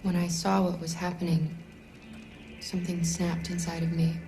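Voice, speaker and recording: A young woman voicing a gentle, protective android runaway in a video game, soft-spoken and earnest. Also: fairly low pitch, little pitch movement, normal speed, music in the background, natural voice, low-quality recording. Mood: subdued. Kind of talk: naturalistic cinematic game acting.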